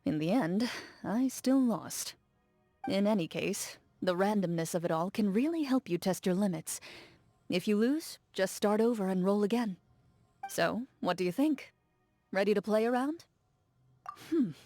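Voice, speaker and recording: An actress playing a stoic young woman duelist, speaking in a calm, measured, formal and reserved way. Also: medium pitch, dry recording, soft background music. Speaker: game character. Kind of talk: game character voice lines.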